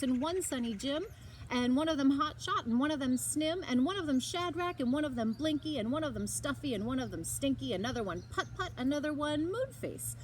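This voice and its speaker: A woman reads a storybook aloud in a high voice that swings widely in pitch. She leans into dramatic emphasis and stretches out key words for young listeners. The recording carries faint background noise. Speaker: librarian reading aloud to children. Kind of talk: expressive storybook read-aloud.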